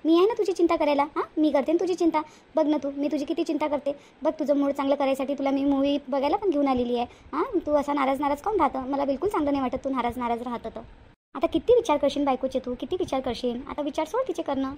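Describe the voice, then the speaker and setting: A woman's voice: high-pitched, fast, a little mellow. A woman voicing a sweet-talking, scheming young cartoon woman, coaxing and repetitive. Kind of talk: homemade cartoon voice acting.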